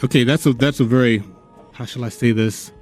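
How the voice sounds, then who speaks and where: A man of ordinary pitch hosts a live radio interview, halting and restarting as he works toward his questions. Faint music plays under him. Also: steady tone, darkish tone. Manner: hesitant, halting with fillers. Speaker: radio host.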